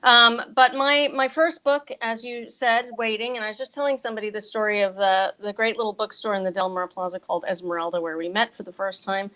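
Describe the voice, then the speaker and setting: A woman's voice: mid-range voice, fairly even intonation, a little fast, few pauses, sharp tone, poor audio. A middle-aged American woman chatting casually as a guest bookseller and author, telling things in a chatty way with casual asides. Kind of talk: casual chat on a virtual event.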